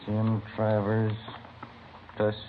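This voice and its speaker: An easygoing American police detective with a lowish voice. He is laconic, casual and unhurried, a sidekick in an old radio crime drama. The sound is thin like a phone line, with clear noise. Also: completely flat delivery. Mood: routine. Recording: scratchy, band-limited vintage radio recording.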